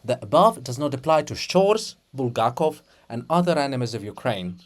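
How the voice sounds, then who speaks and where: A person giving a dramatic reading of a play in a theatrical, deliberate manner, phrase by phrase. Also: medium pitch, very animated. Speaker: reader of a play.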